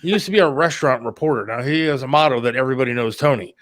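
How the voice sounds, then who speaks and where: Male talk host, mid-range pitch, informal and plain-spoken, very dry sound. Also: very fast, a bit bright, no echo. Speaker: radio producer and talk show host. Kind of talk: casual chat about an upcoming radio show.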